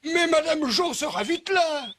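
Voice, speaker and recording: A woman's voice: sits high, expressive, a little slow, slight background noise. A woman voicing a kindly, solicitous local in a cartoon, speaking expressively as if to a child, over music. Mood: concerned, kindly. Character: kindly, fussing station local talking to a child. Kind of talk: French cartoon dub acting. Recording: music behind the voice.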